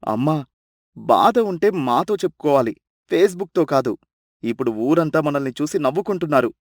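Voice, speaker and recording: An exasperated village family man acted by a man with a fairly high voice in a dry, close recording. He scolds reproachfully, embarrassed, as in an animated moral tale. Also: normal speed, no echo. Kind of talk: animated moral story acting.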